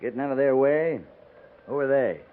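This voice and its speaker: An actor playing a seasoned, dry-witted cavalry captain in a 1950s radio western, clipped and authoritative. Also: male voice, mid-range voice, normal speed, lightly acted. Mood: low, probing. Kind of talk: 1950s radio drama acting. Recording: tinny, noisy 1950s radio recording.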